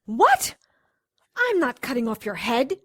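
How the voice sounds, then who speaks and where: An actress playing a monster hunter's concerned, sensible companion in an audio drama, questioning and firm. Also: female voice, fairly high pitch, very animated, unhurried, slightly rough, no echo, exaggerated voice. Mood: alarmed.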